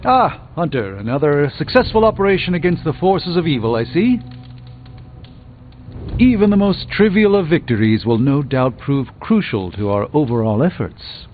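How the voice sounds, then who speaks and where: A proud middle-aged master sorcerer for a video game. The voice sits a little high for a man and swings widely in pitch, with formal, pompous diction. It comes through a thin, phone-like band with music underneath. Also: big pitch swings, moderate pace. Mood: measured, assured. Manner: formal, pompous, erudite phrasing.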